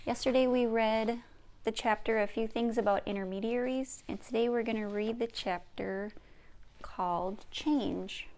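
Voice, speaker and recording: A woman narrates a children's story in a read-aloud style. Her voice is fairly high for a woman, with a noticeable creak at the edges of phrases. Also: fairly even intonation, unhurried, a little mellow. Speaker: children's author and reader.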